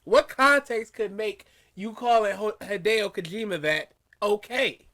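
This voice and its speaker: Man, high, bright and forceful, incredulous, rapid rhetorical questions, casual podcast co-host.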